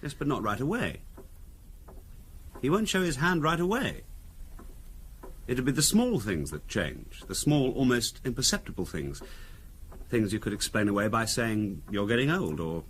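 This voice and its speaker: Man playing middle-class husband, hugely varied pitch, musing, insinuating, seventies radio drama, faintly noisy recording. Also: big pitch swings, close to an everyday voice. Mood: quietly insinuating. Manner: musing, deliberate, conversational. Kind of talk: 1970s radio drama acting.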